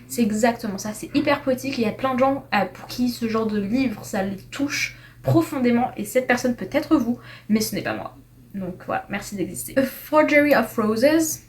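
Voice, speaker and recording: A young woman giving a casual, chatty review of books, tripping over her words and dropping slang as she ranks them. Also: a little high. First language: French. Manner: chatty, animated, with slang and frequent restarts. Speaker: book reviewer. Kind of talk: casual tier-list book review.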